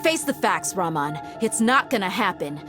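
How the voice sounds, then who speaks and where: A woman's voice: medium pitch, very animated, normal speed, music in the background, lightly acted. A woman voicing a curt, guarded young woman in a game cutscene. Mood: urging. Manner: curt, dismissive.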